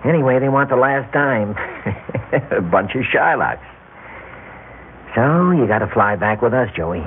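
An actor playing a genial but menacing mob money collector in a classic radio drama, speaking casual, teasing tough talk with smug ease. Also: male voice, medium pitch, expressive, measured pace, over music. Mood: genial menace. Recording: tinny old radio broadcast, faint noise.

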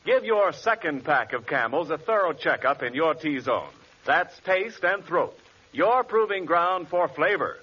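A man's voice: on the high side, very animated, unhurried, slightly rough. A man working as a radio announcer, delivering emphatic, polished 1940s-style commercial copy. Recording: muffled 1940s radio recording.

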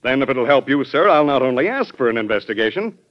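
An actor with an American accent playing a plain-spoken, indignant government official in a classic radio drama. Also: male voice, mid-range voice, very animated, fast talker, close to an everyday voice. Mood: indignant, earnest. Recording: dull old radio recording.

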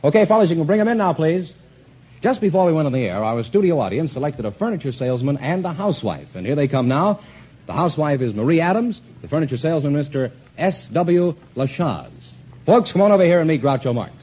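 A man announcing rules and scores on a radio quiz show in a crisp, formal way. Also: fairly high pitch, very animated, quick pace. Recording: dull old radio recording.

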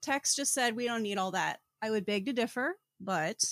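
Lady podcaster talking books, higher voice, dry humor, goes off on tangents, really dry room. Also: expressive, normal speed, fairly bright tone, no echo. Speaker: podcast co-host. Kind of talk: casual book commentary.

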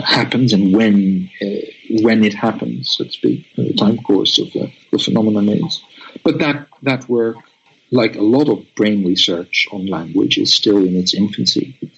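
A man's voice: lowish voice, vocal fry, a bit bright, slightly rough, muffled recording. A man whose first language is Dutch, a guest researcher answering interview questions thoughtfully, with many restarts and tag questions.

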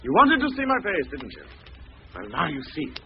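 High-voiced, menacing, gloating villain. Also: male voice, huge pitch swings, fairly quick, gravelly, dull audio, heavily acted. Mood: gloating menace. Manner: theatrical, gloating, taunting. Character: menacing, vengeful disfigured stage actor tormenting a captive. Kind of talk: old-time radio thriller acting. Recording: noisy, band-limited vintage radio recording.

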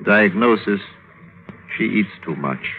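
An actor playing a deferential, ambitious court physician, speaking in a measured, ornate old radio drama manner. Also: male voice, lowish voice, lively intonation. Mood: wry, deferential. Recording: tinny, hissy old radio broadcast recording.

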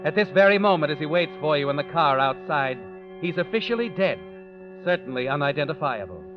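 Insinuating old-time radio suspense narrator, higher-pitched man. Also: very animated, fairly quick. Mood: knowing, ominous. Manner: insinuating second-person address. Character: knowing, sinister male narrator voicing a schemer's conscience. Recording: dull old radio recording with music.

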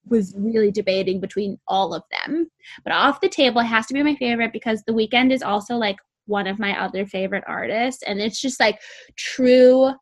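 A young woman with a sweet, squeaky voice, chatting casually about music and peppering her talk with "like". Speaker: co-host reviewing music. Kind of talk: casual chat.

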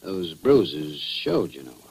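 Shrewd police chief, lively range, rough husky tone, knowing interrogator, 1940s radio crime drama. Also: male voice, a bass voice, unhurried, heavily acted, rough recording. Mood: accusing.

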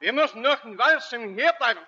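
An actor playing a stiff, formal ship captain in a 1940s radio drama. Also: male voice, very high voice, lively intonation, fast talker, pushed and bright, husky, full character voice. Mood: agitated. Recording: dull 1940s radio broadcast recording.

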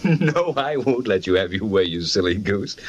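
An actor playing a condescending, indulgent husband in old radio drama style, brushing worries aside. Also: male voice, lowish voice, very animated, normal speed, muffled recording. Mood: amused, dismissive. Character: patronizing, breezily dismissive doctor husband. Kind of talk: 1940s American radio drama acting.

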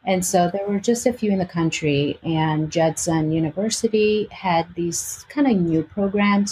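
A woman in her forties speaks with a slight Albanian-Greek accent. Her voice sits a little low as she tells her story casually as an interview guest. There is faint noise behind her. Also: darkish tone, poor audio. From Albania and Greece.

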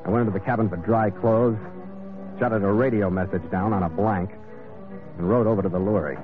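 Man acting a tough ship's captain, low voice, wry, clipped, old radio adventure, tinny sound with music underneath. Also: steady tone, fairly quick, lightly acted. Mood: wry narrating. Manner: clipped, wry, hard-boiled. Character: tough, wry male sea captain narrator. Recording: tinny vintage radio recording.

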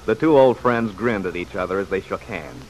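A man's voice: low voice, expressive, moderate pace, poor audio. A man giving scripted story narration in the style of an old-time radio drama. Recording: vintage radio recording with background noise.

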